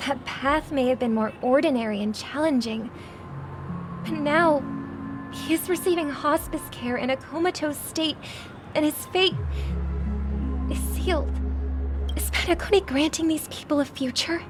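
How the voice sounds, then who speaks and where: A woman voicing an earnest, gentle but firm young woman in a video game, composed and sincere, with music behind her. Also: sits high, normal speed, long pauses, lightly acted. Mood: earnest concern.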